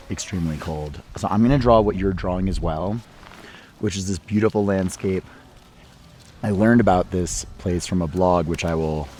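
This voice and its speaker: A man losing his voice to a cold, chatting in a relaxed, meditative way as he sketches outdoors beside a hot spring. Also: low voice, a little hiss or hum. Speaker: art vlogger. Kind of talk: casual travel vlog narration. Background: faint local music.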